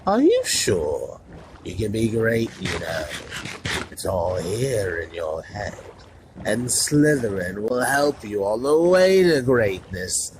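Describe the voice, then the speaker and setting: A man's voice: on the low side, sing-song, takes it slowly, noisy background. A man voicing a musing old talking hat.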